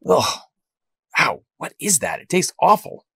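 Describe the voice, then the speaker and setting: Gravelly, casual young hero played by a man. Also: on the high side, very animated, a bit bright, no room sound, full character voice. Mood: casual, wry. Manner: casual, halting. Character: Rocky, cocky but regretful young male hero. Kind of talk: amateur audio drama acting.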